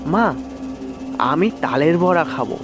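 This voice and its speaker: A man's voice: a little high, lively intonation, slow pace. A man voicing an eager village youngster who pleads in exclamations, in an audio horror story. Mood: eager, pleading. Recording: music under the voice.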